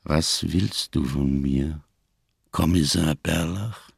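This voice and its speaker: Gravelly, slow, scarred old-world survivor. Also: male voice, extremely low pitch, no room sound, studio quality, full character voice. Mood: sardonic. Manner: solemn, declamatory, bitterly ironic speech. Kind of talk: German radio crime drama acting.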